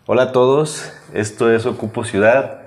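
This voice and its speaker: A man with a Mexican accent co-hosting a podcast in casual, teasing banter, slightly hoarse and congested from a cold. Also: mid-range voice, fairly even intonation, moderate pace, heavy background noise. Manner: teasing, interjecting. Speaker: podcast co-host.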